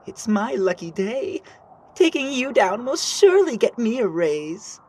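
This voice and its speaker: A taunting female alien officer, the enemy in an animated story, played by a woman. The voice is clearly high. Every line comes across haughty, smug and mocking. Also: measured pace, full character voice. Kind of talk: amateur animation voice acting.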